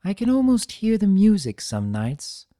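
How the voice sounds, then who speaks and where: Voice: mid-range voice, wildly swooping pitch, a little slow, mellow, dry recording, clean studio sound, voice pushed up. A performer voicing an elegant, proud and guarded female unicorn pony in a cartoon fan story, with refined, theatrical and precise diction. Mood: wistful.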